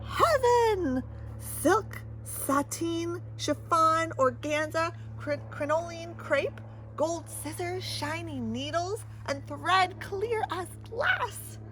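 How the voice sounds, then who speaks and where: A woman voicing an excitable, wide-eyed young seamstress in a storybook, full of exclamations. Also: very high voice, slow, a little hiss or hum, voice pushed up, exaggerated voice, poor audio. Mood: delighted awe. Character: excitable young seamstress girl, easily astonished.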